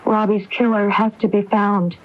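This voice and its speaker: An actress playing a grieving but resolute widow in a radio drama, speaking in a measured way. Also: female voice, mid-range voice, level tone, natural voice. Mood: steely, resolute. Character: determined, composed grieving widow. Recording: muffled AM radio, faint noise.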